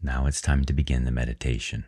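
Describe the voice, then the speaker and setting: Very deep-voiced man guiding a meditation. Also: steady tone, dark tone, breathy edge. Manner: slow, measured, reverent delivery with pauses. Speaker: guided meditation presenter. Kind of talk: guided meditation narration.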